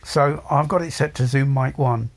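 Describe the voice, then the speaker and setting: A man co-hosting a casual podcast, his voice gravelly and a little husky. He talks about recording gear in a relaxed, hesitant way, with plenty of ums. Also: mid-range voice, normal speed. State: voice getting croaky. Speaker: podcast co-host.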